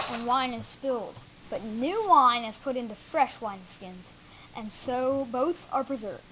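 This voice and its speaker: A woman's voice: high voice, background noise. A woman playing Jesus as a friendly teacher in an amateur Bible audio drama, reciting scripture with chatty asides. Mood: solemn, gentle. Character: Jesus, a male teacher, friendly and jokey with disciples.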